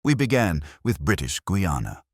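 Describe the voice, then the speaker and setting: A man's voice: low voice, big pitch swings, moderate pace, no echo, studio quality. A man reading a detective story aloud as scripted audiobook narration.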